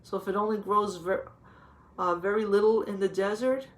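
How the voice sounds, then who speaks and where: A woman's voice: mid-range voice, steady tone, moderate pace. A woman teacher reading a picture book aloud to young children, patiently explaining in simple words and putting questions to the listeners.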